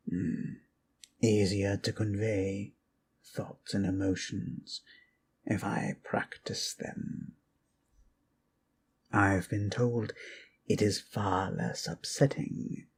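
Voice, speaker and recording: A man voicing a courteous, rambling undead lich healer and storyteller in an ASMR fantasy roleplay, unhurried, musing and formally polite, with a gravelly, sometimes breathy voice. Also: fairly low pitch, a little hiss or hum. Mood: wry, musing.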